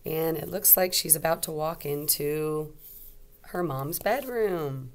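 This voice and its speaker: Female teacher, slightly low register, children's read-aloud lesson, simple explanations and frequent questions. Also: takes it slowly.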